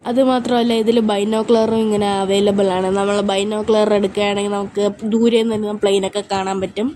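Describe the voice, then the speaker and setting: A woman's voice: mid-range voice, level tone, a little fast, warm tone, a little hiss or hum. A woman giving casual, informal game commentary as a YouTuber, often addressing her viewers directly. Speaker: gaming YouTuber.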